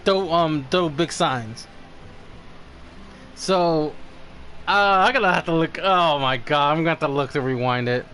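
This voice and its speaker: A man's voice: fairly high pitch, a little slow, long pauses, bright, forceful tone, slight background noise. A man streaming a game with casual, blunt commentary and informal muttered asides.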